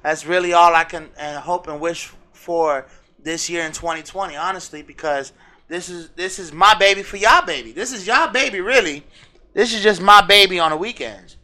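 An American man hosting a podcast in casual, slangy, excitable banter with his co-host, swearing freely. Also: high-pitched, normal speed.

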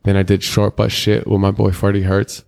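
A young man with a deep voice, talking loosely in slang as an interview guest, rambling through candid stories. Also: monotone, normal speed, vocal fry, a little mellow. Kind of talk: casual candid interview talk.

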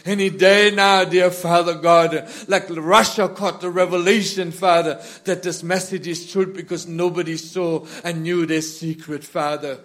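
A man's voice: high-pitched, little pitch movement, a little slow, hardly any pauses. A man leading a congregation in fervent, spontaneous prayer, pleading in short repeated phrases that swell and subside. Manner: repetitive, pleading, short phrases punctuated with addresses to God; swells from hushed to loud and impassioned. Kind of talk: fervent extemporaneous church prayer.

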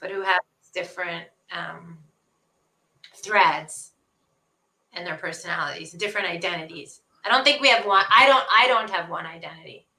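A woman's voice: on the low side, normal speed, bright, forceful tone. A woman from Canada, a guest author on a podcast, chatting casually over a video call from a bomb shelter and laughing often. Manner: chatty, laughs often, imitates others' voices. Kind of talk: casual conversation. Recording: echoey room.

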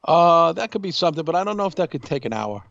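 Higher-pitched man, dull podcast audio. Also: very animated, fast, gravelly, fairly bright tone, no echo. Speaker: podcast co-host. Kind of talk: casual wrestling talk.

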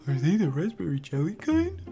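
A woman playing a childlike, lonely and eager records clerk in an audio drama, with a giggly, halting, naive delivery. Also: fairly low pitch, big pitch swings, mellow, faint music behind. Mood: delighted, gushing.

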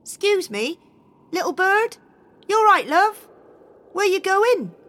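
A woman voicing a kind, motherly spruce tree who speaks in a gentle, coaxing way. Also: extremely high pitch, big pitch swings, slow pace, pitched above the performer's own voice, full character voice. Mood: caring. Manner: warm coaxing.

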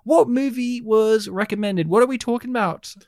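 Playful Aussie co-host, rambling, a bit high, clean and close. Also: male voice, normal speed, darkish tone, no echo. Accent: Australian. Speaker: podcast co-host. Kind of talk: casual podcast chat.

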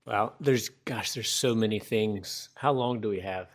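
A man's voice: on the low side, unhurried. A man from North Carolina who hosts a casual interview, musing aloud and asking open questions. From North Carolina, United States. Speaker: podcast host.

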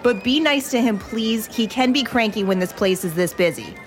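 An actress playing a friendly young tavern waitress who talks in a chatty, brisk way. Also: female voice, fairly high pitch, expressive, faint music behind, lightly acted.

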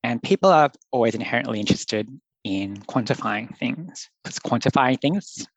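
An earnest young man talks through his ideas with loose, thoughtful phrasing and the odd laugh. His voice sits at a middling pitch. The recording is dry and close, with no room sound. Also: expressive, moderate pace, dry recording, rough recording. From Australia. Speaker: public health doctor, guest. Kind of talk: conversational podcast interview.

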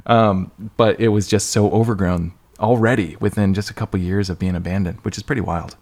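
A man's voice: fairly low pitch, fairly even intonation, brisk, darkish tone. Guy hosting a podcast, casual chat, agreeable and chatty, keeps affirming the guest. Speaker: podcast host interviewing an author.

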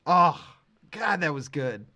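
A man's voice: on the high side, big pitch swings, slow pace, pushed and bright, dull audio. A man co-hosting a podcast in a casual, emphatic and effusive way, talking like a fan. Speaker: podcast co-host. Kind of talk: casual movie and music chat.

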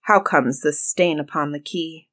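A woman voicing a stern, murderous, commanding husband in a fairy tale, speaking deliberately and emphatically. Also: deep voice, fairly bright tone. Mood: cold menace. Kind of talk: dramatized fairy-tale storybook reading.

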